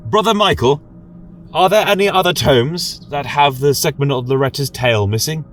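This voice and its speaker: A man's voice: on the high side, a bit bright, slight background noise, voice pushed up, close to an everyday voice. Man playing a flustered, stammering devout preacher, voice raised. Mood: nervous reverence. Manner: stammering, agitated, halting. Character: flustered, devout male preacher pleading for his faith. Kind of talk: fantasy audio drama.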